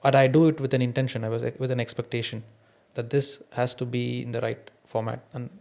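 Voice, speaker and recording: Man, Indian accent, non-native English speaker, medium pitch, reflective podcast conversation, personal anecdotes. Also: level tone, a little fast, creaky, a little mellow. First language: an Indian mother tongue, not English. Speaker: podcast host.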